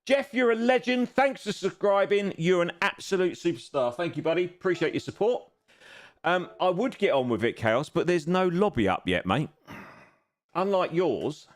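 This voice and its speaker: A man's voice: high voice, animated, moderate pace. A man in his fifties chatting casually on a live stream while seated at a home racing simulator, self-deprecating and chuckling often. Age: 50s.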